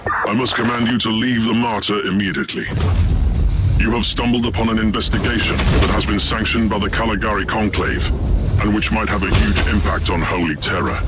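A man's voice: low voice, lightly acted. A man voicing a grave, authoritative lord inquisitor in a video game, formal and commanding.

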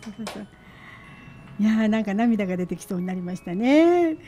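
A woman's voice: medium pitch, animated, fairly quick, dark tone, slight background noise. A woman hosting a casual radio interview with children in a small studio, echoing and affirming what they say in an encouraging way. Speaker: radio host. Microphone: studio mic on boom arm.

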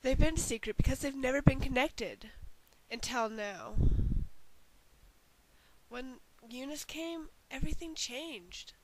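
A perky young female game character voiced by a woman in a parody dub. She is casual and high-pitched, recorded at home with faint hiss. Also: takes it slowly, rough recording. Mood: explanatory.

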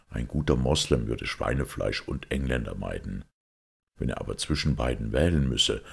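A man reading the part of a shrewd, calm priest detective in an audiobook, in a measured, deliberate way. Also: extremely low pitch, creaky, husky, heavily acted.

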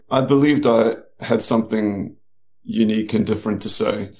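Gravelly low-voiced man. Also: darkish tone. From New Zealand and South Africa. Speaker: guest, novelist. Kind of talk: casual interview storytelling.

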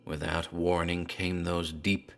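Deep-voiced man narrating horror. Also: little pitch movement, gravelly, fairly bright tone. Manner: grave, measured storytelling. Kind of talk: dramatic horror story narration.

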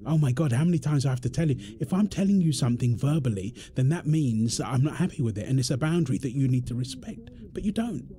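A man's voice: mid-range voice, lively intonation, fast talker, few pauses, mellow, a little hiss or hum, natural voice. A British man playing an exasperated, defensive husband in an audio roleplay, arguing in an insistent, repetitive way. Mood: exasperated, argumentative. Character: exasperated, defensive husband and father quarrelling indignantly. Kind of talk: dramatic one-sided argument roleplay.

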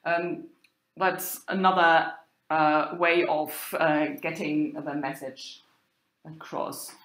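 A woman university lecturer talking over slides, hesitant, with many fillers and pauses. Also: low voice, fairly even intonation, fairly bright tone. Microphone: recorded voice-over for slides. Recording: roomy echo.

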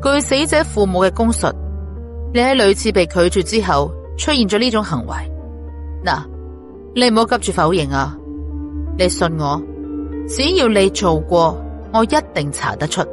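A man's voice: sits high, animated, normal speed, soft background music. Woman voicing a pressing male police interrogator. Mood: pressing, probing. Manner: insistent, probing. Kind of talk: audiobook character voice.

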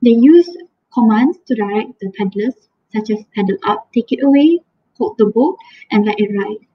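A woman's voice: a little high, lively intonation, normal speed, a little hiss or hum. A young woman, a student presenting a class lesson over slides, explaining carefully with some restarts on a laptop webcam. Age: young adult. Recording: dull lo-fi webcam audio.

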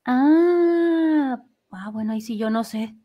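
A woman's voice: high voice, lively intonation, takes it slowly, dull audio. A woman, chatting casually and a bit ramblingly as an interviewed fandub singer. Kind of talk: casual live interview chat.

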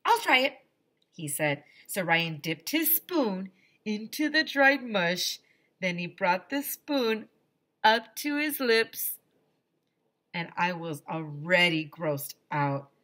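A woman in her thirties, a teacher reading a children's book aloud in a deliberate, dramatic way on a headset mic. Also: fairly low pitch, bright, forceful tone. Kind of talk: expressive children's storybook read-aloud. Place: small room decorated as a classroom.

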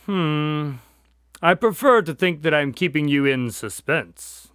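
A man's voice: fairly high pitch, animated, slow, a bit bright, smooth, no echo, clean studio sound. A middle-aged man playing a genial, teasing doctor who recounts cases in a radio drama. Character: genial male doctor telling his case stories.

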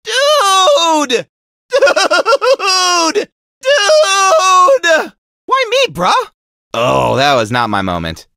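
A man's voice: extremely high pitch, huge pitch swings, drawn-out words, vocal fry, sharp tone, no echo, shouting, clean studio sound, exaggerated voice. A man voicing a goofy, laid-back surfer-dude lifeguard mascot in a video game, with a slangy drawl. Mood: exclaiming. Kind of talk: cartoony video game voice lines.

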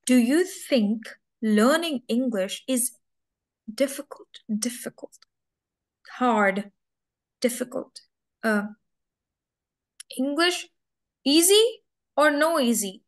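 A woman's voice: sits high, animated, slow, long pauses. A young woman teaching English, who runs a mock speaking test and patiently repeats her questions and key words. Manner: patient, coaxing, repeats words many times. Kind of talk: slow, repetitive test questioning.

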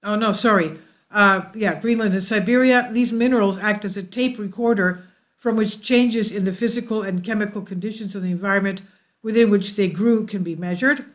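A woman's voice: mid-range voice, normal speed. Woman reading science news, hesitant asides. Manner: hesitant asides with fillers and self-corrections. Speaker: YouTube news host.

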